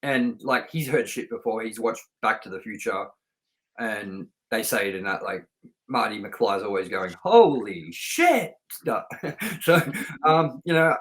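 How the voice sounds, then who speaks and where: A man's voice: lowish voice, takes it slowly, a bit bright, rough recording. A middle-aged man hosting a film review show, talking in a casual, unscripted, chatty way that rambles through personal anecdotes.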